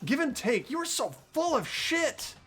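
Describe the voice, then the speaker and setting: A woman's voice: high voice, big pitch swings, a little slow, a bit bright, soft background music, exaggerated voice. A woman voicing a defiant, stubborn young student hero in a fan-dubbed video game, earnest and indignant.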